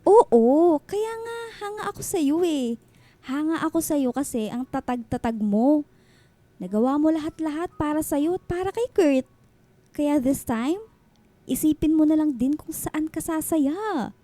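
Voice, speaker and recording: A sassy, high-voiced best friend. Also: female voice, animated, a little slow, dark tone, no room sound. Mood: encouraging. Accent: Filipino. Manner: sassy, playful, outspoken. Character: blunt, teasing, supportive female best friend. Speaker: voice actor in a radio drama. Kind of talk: Filipino radio drama acting.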